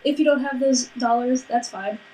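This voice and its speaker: A woman's voice: sits high, normal speed, dark tone, a little hiss or hum, poor audio. A woman co-hosting a podcast, chatting casually and plugging the show in a teasing, sweary, mock-bossy way. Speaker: podcast co-host. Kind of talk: casual banter and show plugs.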